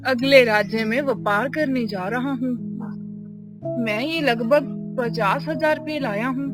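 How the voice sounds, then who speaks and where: A woman acts out a trusting landlord in a cartoon moral tale. The voice is on the high side, formal and deferential, earnest in its courtesy. Soft music runs underneath. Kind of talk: Hindi moral-story cartoon dialogue. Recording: faint music behind the voice.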